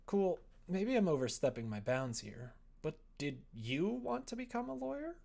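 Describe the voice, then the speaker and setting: A man's voice: high voice, big pitch swings, normal speed, gravelly, a little mellow, voice pushed up, heavily acted. A man voicing the earnest, apologetic manager who leads a visual novel, tentative and encouraging. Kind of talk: visual novel read aloud.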